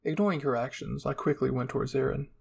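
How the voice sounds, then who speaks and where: A man narrates a fan story in the first person. His voice is mid-pitched with a gravelly, husky grain, and he reads it dramatically. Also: fast talker. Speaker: fan fiction reader.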